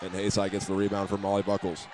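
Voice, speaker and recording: An American man calling live high school basketball as a play-by-play radio announcer. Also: completely flat delivery, fast, a crowd in the background. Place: indoor auditorium at a basketball game.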